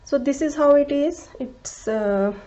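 A woman who speaks English as a second language gives a crochet tutorial, talking slowly and carefully, with many fillers and restarts, and counting stitches aloud. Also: high voice, mellow, lo-fi sound. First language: not English. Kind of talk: step-by-step craft tutorial.

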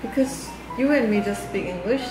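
A woman's voice: a little high, fast. A woman, cheerfully hosting a home vlog in English and laughing often. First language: Korean. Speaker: vlogger host. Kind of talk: casual vlog talk. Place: indoors at home. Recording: echoey home room with music.

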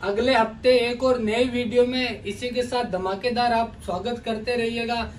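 A man in his thirties pitches jeans in a large clothing store, his voice set unusually high for a man. He talks in a lively, pushy salesman's patter, calling out to viewers like brothers. The camera sits a little away from him, leaving a faint hum of shop noise behind his voice. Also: moderate pace, recorded from a distance. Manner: energetic hard-sell patter, calls viewers brother. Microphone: camera mic at a distance. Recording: voice from off mic with faint room noise.

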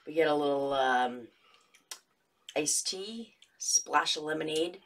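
A woman's voice: low voice, slow, slight background noise. A young woman co-hosting a home taste test, matter-of-fact with dry asides. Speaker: co-host. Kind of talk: casual taste-test chat. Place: dining room at home.

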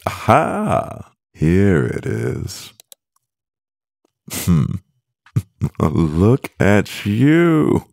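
A man playing a stressed, devoted CEO boyfriend in an intimate ASMR roleplay, murmuring with a husky, gravelly voice and pauses. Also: very deep voice, darkish tone, no room sound, studio quality, exaggerated voice. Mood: distracted, indulgent, then amused, flustered.

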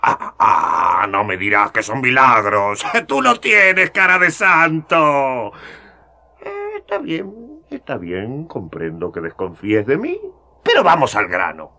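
An old schemer from a radio play, low-ish voice that swings all over, chuckling and slow, sly and smooth. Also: male voice, pushed and bright, heavily acted. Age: older. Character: sly gray-bearded male magistrate, scheming. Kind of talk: radio drama acting.

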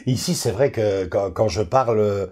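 Middle-aged host, mellow lowish voice, hesitant fillers, living room on a far camera. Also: male voice, measured pace. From Belgium. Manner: hesitant, many fillers and restarts. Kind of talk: casual chat about film collecting.

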